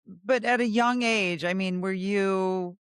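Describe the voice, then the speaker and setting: A woman's voice: mid-range voice, slow pace, clean tone, dry recording, studio quality. A woman hosting a podcast interview, chatty and enthusiastic, with frequent fillers and restarts. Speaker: podcast host. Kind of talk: interview, casual chat.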